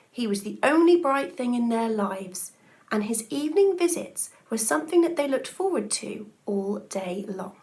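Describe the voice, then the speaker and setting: A woman's voice: fairly high pitch, vocal fry. A woman reading a children's story aloud expressively, in the manner of a schoolteacher.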